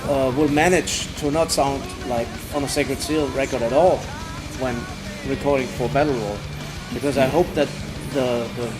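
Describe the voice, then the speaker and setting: Give me a man with a German accent who speaks hesitantly, filling gaps with "uh" and restarting his sentences as he chats in a casual interview. His voice sits at a middling pitch, and clear music plays behind him throughout. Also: fairly even intonation. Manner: halting, many fillers and restarts. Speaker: band singer.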